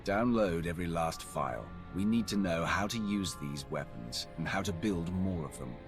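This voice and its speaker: Stern male game warlord, extremely low and creaky, authoritative, loud music behind. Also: animated. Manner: measured, authoritative. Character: stern, commanding male Sith warrior commander. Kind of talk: video game voice acting.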